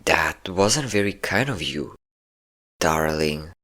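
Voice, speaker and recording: Man playing a deep-voiced, teasing male vampire. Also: animated, pushed and bright, full character voice. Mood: smug, taunting. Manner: taunting, flirtatious, slow endearments. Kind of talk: intimate roleplay audio acting.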